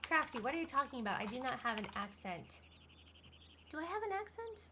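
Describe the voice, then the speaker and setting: A woman's voice: high-pitched, fairly quick, background noise. A young woman reading chat on a live stream, talking playfully and casually. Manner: playful, lively.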